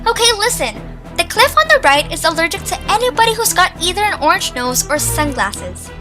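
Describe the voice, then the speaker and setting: A woman playing a young, analytical and excitable cartoon creature in an amateur audio drama, explaining things earnestly and expressively. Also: very high voice, brisk, music in the background. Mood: eureka, explaining.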